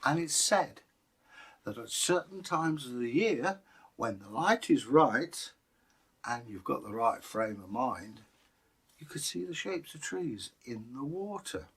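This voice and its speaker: A man's voice: medium pitch, lively intonation, slow, a bit bright, slightly rough. British man, rambling storyteller. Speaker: co-host and storyteller. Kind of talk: folktale telling and casual chat.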